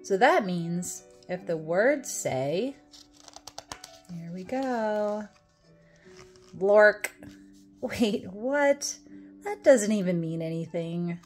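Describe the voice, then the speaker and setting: A woman's voice: mid-range voice, lively intonation, a little mellow, soft background music. A woman reading a children's picture book aloud in a playful, theatrical way, with exaggerated expression. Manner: playful, exaggerated mock dismay, dramatic drawn-out words and whispers. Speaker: storytime reader.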